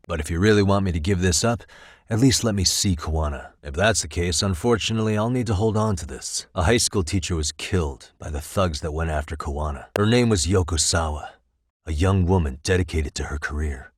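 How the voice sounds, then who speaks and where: An actor playing a composed, determined male detective in a video game, speaking in a measured, probing way with dry wit. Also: low-pitched, no echo. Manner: measured, probing, dryly wry questioning. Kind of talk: English video game voice acting.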